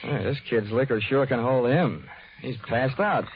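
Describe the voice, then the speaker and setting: An actor playing a wry, hardboiled, flirtatious insurance investigator in 1940s radio noir style. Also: male voice, mid-range voice, normal speed, a little hiss or hum, natural voice. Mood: sardonic. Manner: wry, wisecracking. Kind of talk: 1940s radio acting, first-person noir narration. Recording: dull 1940s radio broadcast with music.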